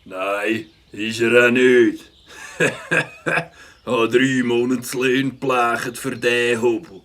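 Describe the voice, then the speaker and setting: An actor playing a smug, mocking biker in a cartoon, bragging in slangy talk. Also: male voice, mid-range voice, steady tone, extremely slow, fairly bright tone. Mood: smug, boastful. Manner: bragging, smug chuckling drawl. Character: boastful, mocking biker show-off.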